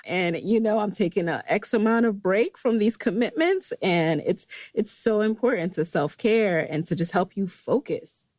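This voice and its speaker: A woman's voice: mid-range voice, moderate pace, hardly any pauses, darkish tone, no echo. A woman hosting a podcast interview, asking questions and affirming her guest in a conversational way. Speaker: podcast host.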